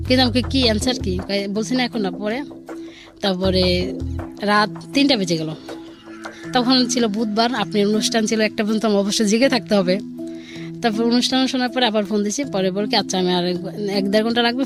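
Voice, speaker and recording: A woman guest telling a personal story informally, rambling and quoting dialogue as she goes. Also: medium pitch. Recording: music bed under the voice. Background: background music.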